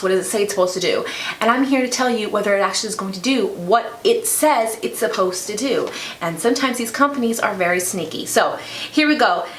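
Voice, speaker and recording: A middle-aged woman with a raspy voice from a lingering cold, chatting through a product review as a beauty vlogger. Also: medium pitch, fairly bright tone. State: getting over a cold. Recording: roomy echo, faint background noise.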